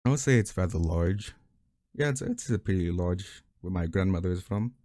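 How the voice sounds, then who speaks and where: Deep, dark-voiced man, casual and blunt. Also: animated, normal speed, dry recording, studio quality. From family from Mexico. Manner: self-deprecating, blunt, swears casually. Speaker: animator. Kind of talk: casual chat.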